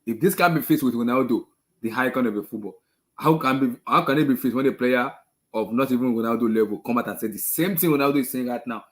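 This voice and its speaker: Man, very quick pace, mid-range voice, talk host, casual football opinions, emphatic, repetitive phrasing. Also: mid-range voice. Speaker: host of a football discussion show. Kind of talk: casual opinionated sports chat.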